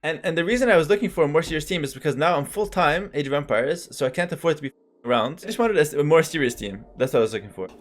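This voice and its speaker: A man talking in a casual interview, telling his story in a quick, eager way. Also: on the high side, animated. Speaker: pro gamer interviewee.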